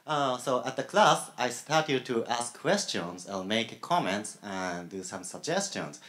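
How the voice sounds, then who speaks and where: A young man with a Japanese accent on a graduate student panel, speaking haltingly with many fillers and pauses. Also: mid-range voice, animated, fairly bright tone. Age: young adult. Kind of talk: panel talk. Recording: echoey room.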